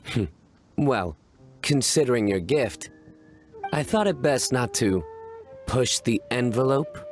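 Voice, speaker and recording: An actor playing a solemn, deadpan young man in a video game, speaking in a measured way with pauses. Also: mid-range voice. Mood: deadpan, pensive. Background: music.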